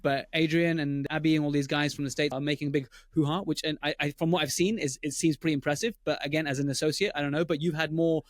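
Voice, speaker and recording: A man's voice: on the high side, dry recording, clean studio sound. A man hosting a dental podcast, chatting casually with his guest and often restarting his words. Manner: quick, many restarts. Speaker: podcast host and dentist.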